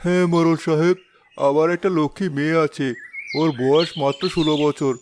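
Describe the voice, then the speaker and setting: Humble village father, slightly high voice, man acting. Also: fairly even intonation, fairly quick, a little mellow, slight background noise, lightly acted. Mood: eager, grateful. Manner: deferential, pleading. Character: humble, deferential poor widowed villager father. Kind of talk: Bengali cartoon voice acting.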